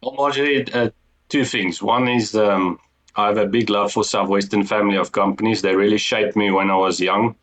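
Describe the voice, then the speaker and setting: South african guy, afrikaans accent, lowish voice, earnest, lots of ums. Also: fairly even intonation, a little fast, a bit bright, low-quality recording. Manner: earnest storytelling, frequent ums and restarts. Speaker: guest, business co-founder. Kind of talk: casual interview talk.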